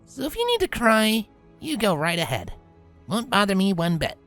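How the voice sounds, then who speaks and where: A woman's voice: medium pitch, huge pitch swings, moderate pace, fairly bright tone, soft background music. A woman voicing a confident, caring female pegasus pony in an audio storybook, casual and reassuring with a touch of cockiness. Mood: playful, cocky.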